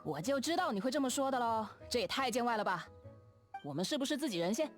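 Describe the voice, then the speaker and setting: A chatty, affectionate young game heroine, playful and colloquial. Her fairly high voice swoops up and down in a lively way, with music behind it. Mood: playfully insistent. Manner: colloquial, playful, drawn-out sentence particles. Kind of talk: game character voice line.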